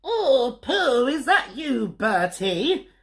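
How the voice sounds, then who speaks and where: A woman's voice: high voice, very animated, extremely slow, fairly bright tone, voice pushed up, full character voice. Woman doing a fussy scolding granny. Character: fussy disapproving old grandmother.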